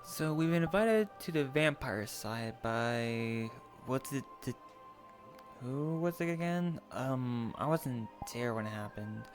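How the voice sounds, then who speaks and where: A man talks haltingly and apologetically in a medium-pitched voice, improvising casual chat for an online role-play. Music plays behind him. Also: animated. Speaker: role-play participant and streamer. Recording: music playing over the voice.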